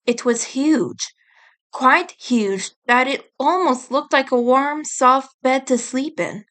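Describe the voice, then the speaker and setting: A woman's voice: high-pitched, slow pace, fairly bright tone. A woman narrating her own fantasy story in a scripted storybook style.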